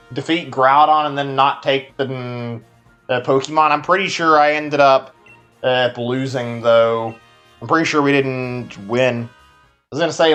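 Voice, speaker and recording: A man's voice: mid-range voice, fairly bright tone. Rambling Texan guy streaming a game. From Texas. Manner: rambling, filler-heavy, answers chat as he plays. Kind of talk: casual live-stream chat while playing.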